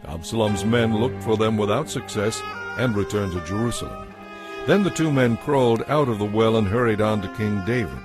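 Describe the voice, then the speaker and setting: A man narrating a dramatized audiobook in a measured, solemn storytelling manner. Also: fairly low pitch. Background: faint music.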